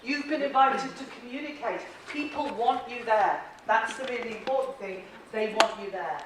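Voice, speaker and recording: A woman's voice: a little high, normal speed, noisy background. Irish woman trainer in an echoey hall. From Ireland. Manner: chatty and encouraging, laughs often, asks the room questions. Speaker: presentation skills trainer. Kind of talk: workshop talk to a live group. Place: large echoey training room. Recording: very echoey room audio, from a distance.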